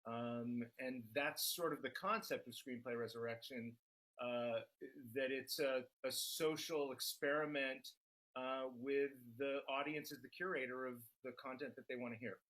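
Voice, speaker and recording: A man giving a measured, conversational explanation as an interview guest, with halting, deliberate phrasing. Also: mid-range voice, steady tone, fairly bright tone, rough recording. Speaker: screenwriter and podcast creator, interview guest.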